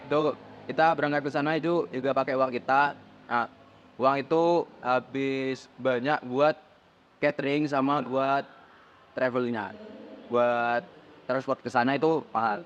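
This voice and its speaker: A teenage male student answers as a podcast guest in a mid-pitched voice. His speech is plain and explanatory, laid out step by step. The audio is muffled, with faint noise behind him. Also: fairly even intonation, unhurried. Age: teens. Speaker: podcast guest, student supporters' club leader. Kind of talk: casual interview answers.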